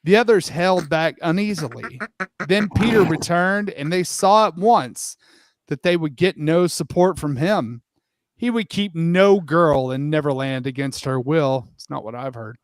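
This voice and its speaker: A man's voice: a little high, measured pace, no echo. A man narrating a comic dramatic storybook reading in a theatrical, irreverent, sweary manner with asides. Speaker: host and narrator.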